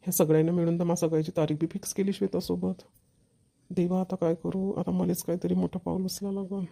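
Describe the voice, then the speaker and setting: A man's voice: sits high, little pitch movement, fast talker, creaky, dark tone, no echo. Guy doing a grumbly young son in a marathi cartoon, varhadi accent, fed up, dry close sound. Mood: brooding, frustrated. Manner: protesting, grumbling. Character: reluctant young son cornered into an engagement. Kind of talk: amateur cartoon family comedy dialogue.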